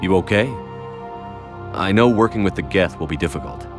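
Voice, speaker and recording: A man playing a calm, dry, resolute military commander in video game cutscene dialogue. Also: low-pitched, moderate pace, music in the background. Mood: dry, supportive.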